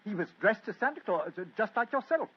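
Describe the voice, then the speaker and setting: A man's voice: sits high, animated, quick pace, husky. An actor playing a deferential, anxious male butler in an old radio drama. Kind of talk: 1940s radio acting. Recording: dull old radio recording.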